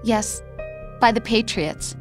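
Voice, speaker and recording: A woman's voice: fairly high pitch, slow pace. An actress playing a tender, guilt-ridden young woman in a dramatic video game scene, earnest and hesitant. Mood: guilty, confessing. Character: young woman, tender, guilty spy confessing to her lover. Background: loud music.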